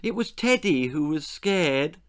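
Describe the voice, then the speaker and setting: A man's voice: fairly high pitch, lively intonation, a little slow. A man voicing an earnest, plaintive little British girl with plummy, old-fashioned manners in a children's storybook.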